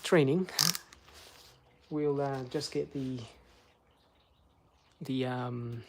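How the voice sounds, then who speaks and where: A man's voice: medium pitch, extremely slow, background noise. A middle-aged man whose first language is Spanish, chatting casually to viewers as he services a car step by step. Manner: chatty, relaxed, talks to live chat viewers. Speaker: car maintenance vlogger. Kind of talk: casual how-to chat while working.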